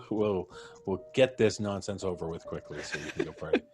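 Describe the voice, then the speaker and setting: A man's voice: sits low, expressive, normal speed, a little mellow, soft background music. A man hosting a live-streamed show, chatting casually and jokingly as he introduces his guests. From Canada. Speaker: host. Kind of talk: casual livestream chat.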